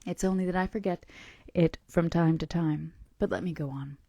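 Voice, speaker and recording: A woman reading the part of a calm, melancholy male vampire who tells of his past in a gentle, measured, confidential way. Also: fairly low pitch, gravelly, no echo. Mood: wistful, reflective. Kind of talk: audiobook-style character reading.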